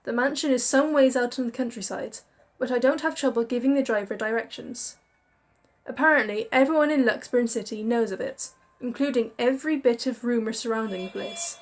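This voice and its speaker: A woman reading a visual novel aloud with expressive storytelling and chatty asides. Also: a little high, brisk. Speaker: game narrator.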